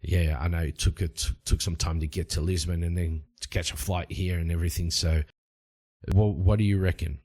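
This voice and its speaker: Terse male adventurer voiced by a storyteller, low-key and questioning, pitched down, all business. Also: level tone, normal speed, darkish tone. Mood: businesslike.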